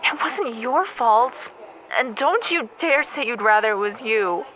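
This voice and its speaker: A woman playing a blunt, cheeky, loyal best friend in a naturalistic audio drama, teasing and expressive. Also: on the high side, unhurried, a little hiss or hum, rough recording. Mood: emotional, loyal.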